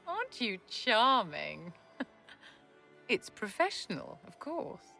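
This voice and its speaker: An imperious high-society enchantress from a fantasy game. Her fairly low voice is poised and silkily menacing, with condescending courtly diction over faint music. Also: animated, moderate pace, fairly bright tone. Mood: amused. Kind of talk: video game cutscene acting.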